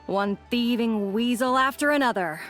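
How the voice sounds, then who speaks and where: A woman's voice: fairly high pitch, moderate pace, a bit bright, soft background music, natural voice. A woman playing a worn-out, dryly humorous law officer in a video game, with a weary manner. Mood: weary, relieved. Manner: dry, weary.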